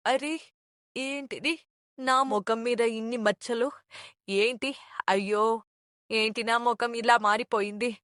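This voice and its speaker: A woman's voice: a little high, fairly even intonation, slow, long pauses, fairly bright tone, dry recording, studio quality. A woman voicing a proud, vain, easily distraught young princess in a cartoon moral story.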